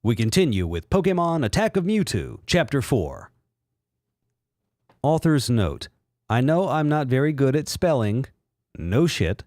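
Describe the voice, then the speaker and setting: A man's voice: mid-range voice, big pitch swings, a little slow, long pauses, a little mellow, dry recording. A man narrates in a theatrical, sardonic mock-dramatic style. His mid-range voice swings wildly up and down, and the recording is very dry. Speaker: reader and commentator.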